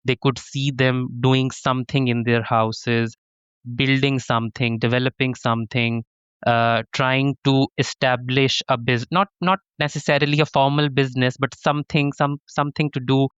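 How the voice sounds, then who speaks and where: A man's voice: medium pitch, level tone, normal speed, fairly bright tone, clean tone, no echo, highs cut off. A man from Pakistan, a professor and interview guest, giving earnest conversational answers with frequent restarts. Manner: earnest, many restarts and "to be honest".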